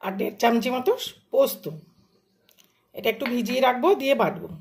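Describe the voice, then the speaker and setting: A woman's voice: fairly high pitch, a little fast, darkish tone. A woman, a home cook narrating a recipe step by step in plain, short, casual phrases. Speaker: home cook hosting a cooking channel.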